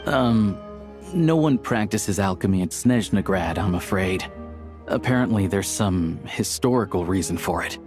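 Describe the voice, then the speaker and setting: A man's voice: sits low, lively intonation, a little slow. A man playing a friendly young local guide in a video game, explaining things in a casual, helpful way. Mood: apologetic. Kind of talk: video game cutscene dialogue. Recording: music playing behind the voice.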